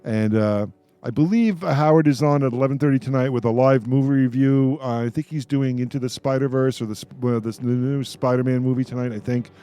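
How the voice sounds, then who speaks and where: A man's voice: medium pitch, a little fast, mellow, smooth, dry recording. A man hosting a casual live radio talk show in a jovial, rambling way.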